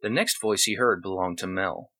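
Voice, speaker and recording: A man's voice: deep voice, moderate pace, bright, forceful tone, slightly rough. A man reading a short story aloud as scripted narration.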